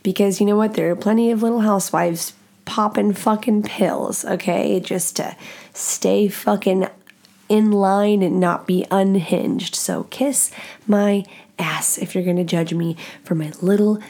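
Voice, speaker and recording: A woman with a deep, nasal voice hosting a podcast in a blunt, profane, self-mocking casual monologue. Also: steady tone, unhurried, darkish tone. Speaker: podcast host.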